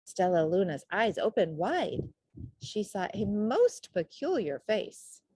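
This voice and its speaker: A woman narrates a children's picture book at a slow pace. Her intonation is very lively, her tone slightly husky, and the sound is dry and close. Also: medium pitch, no room sound, poor audio. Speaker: storybook reader. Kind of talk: expressive children's storybook narration.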